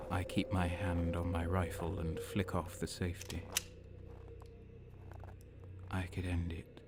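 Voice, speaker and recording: Man acting a low, brooding deserter soldier. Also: background noise. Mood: cold, wary. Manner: slow, brooding, breaking into whispers. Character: cynical, faithless deserter soldier, sadistic and on edge. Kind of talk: audio drama first-person narration and dialogue. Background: music.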